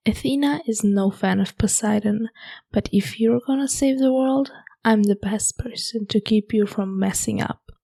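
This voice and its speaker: A young woman, hosting a podcast and chatting casually about a book in a hushed half-whisper, late at night at home. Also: a little high, normal speed, gravelly. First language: German. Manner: hushed, half-whispered talk to avoid waking roommates. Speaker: podcast host.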